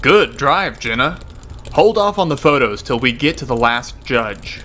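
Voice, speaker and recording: A man's voice: mid-range voice, unhurried, bright, forceful tone, a little hiss or hum. A man playing an intense, scheming teenage debate team leader in an amateur radio drama, terse and commanding. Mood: terse, strategic.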